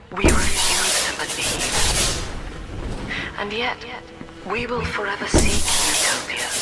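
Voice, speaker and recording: A cold, controlling British matriarch, a video game villain who preaches in formal, measured phrases. She speaks slowly and with solemn zeal. Prominent music plays behind her. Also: medium pitch. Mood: solemn, philosophical. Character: cold, zealous cult-leader mother, controlling and severe.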